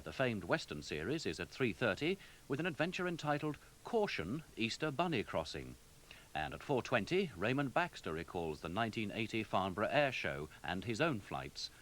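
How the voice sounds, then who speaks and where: A man's voice: on the low side, big pitch swings, moderate pace, fairly bright tone, slight background noise. A man with a Received Pronunciation British accent delivers polished, scripted television continuity announcements in a formal manner.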